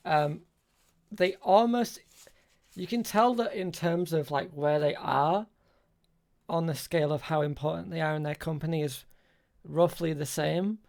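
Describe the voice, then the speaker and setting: Slow, creaky-voiced male game master. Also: on the high side, a little mellow. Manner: hesitant, thinking aloud. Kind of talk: improvised tabletop game narration.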